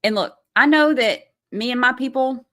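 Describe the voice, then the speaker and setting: A woman's voice: sits high, moderate pace, a bit bright. A woman hosting a casual livestream, reading viewer comments aloud and reacting to them in a chatty way.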